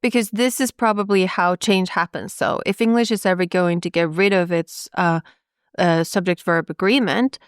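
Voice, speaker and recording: Podcast co-host, Swedish native speaker, female, lower register, chatty and playful, dry close sound. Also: normal speed, clear, resonant tone, no echo. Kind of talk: casual conversation.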